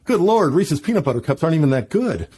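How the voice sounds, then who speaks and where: Middle-aged male guest, quick and enthusiastic, casual interview delivery. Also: on the high side, big pitch swings, warm tone, breathy edge. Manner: fast, enthusiastic, many restarts. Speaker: podcast guest.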